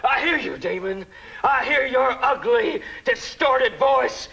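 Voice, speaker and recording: American evangelist, theatrical stage preacher, bombastic, slow delivery, wildly varied pitch, music and crowd behind. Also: male voice, expressive, vocal fry, pushed and bright, lo-fi sound, heavily acted. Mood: fervent. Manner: bombastic, chanting, dramatic. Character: fervent, money-hungry American evangelist preacher casting out demons.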